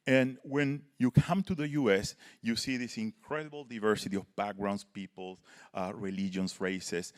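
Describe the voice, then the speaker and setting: A man from Chile, giving earnest panel remarks as a professor and often ending points with a quick "right?". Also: lowish voice. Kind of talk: panel talk, prepared remarks.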